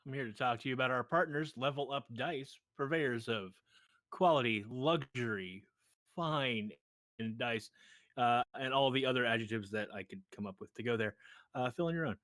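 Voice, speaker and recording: A man with a mid-pitched voice delivers a loose, ad-libbed sponsor plug. He is chatty and jokey, keen on what he describes, recorded dry and close. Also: normal speed, a bit bright, no echo. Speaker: show regular reading sponsor announcements. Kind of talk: casual ad-libbed sponsor read.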